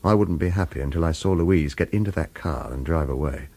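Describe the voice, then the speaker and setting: A man's voice: very deep voice, a little fast, a little mellow, husky, full character voice. An actor playing a wary hitman who narrates a radio drama and speaks protectively, with hesitant reassurance. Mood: brooding, watchful. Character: wary male hitman narrator, protective of his lover, evasive. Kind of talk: radio drama acting with first-person noir narration.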